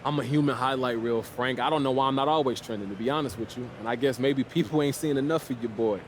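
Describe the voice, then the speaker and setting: An actor playing a cocky young American basketball player in a video game, talking in a breezy, slangy way. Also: male voice, mid-range voice, quick pace, a little hiss or hum, close to an everyday voice. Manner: self-assured, breezy, slangy. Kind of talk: naturalistic game cutscene dialogue.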